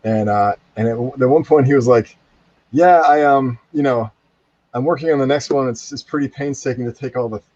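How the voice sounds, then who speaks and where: A man's voice: mid-range voice, normal speed, a little mellow, no room sound. A man chatting casually in a rambling way, often quoting himself as he tells an anecdote. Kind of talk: casual animated chat. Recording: dry, dull lo-fi connection.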